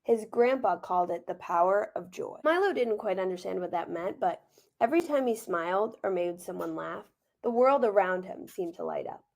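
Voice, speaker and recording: A woman reading a children's picture book aloud as author and teacher, gentle and expressive, with informal asides. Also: mid-range voice, normal speed, vocal fry.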